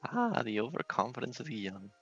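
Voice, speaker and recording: A man's voice: mid-range voice, sing-song, a little fast, creaky, a bit bright, husky, muffled recording, faint music behind, exaggerated voice. A man voicing an elderly, mystical fortune-teller with a theatrical, portentous way of talking. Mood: solemn.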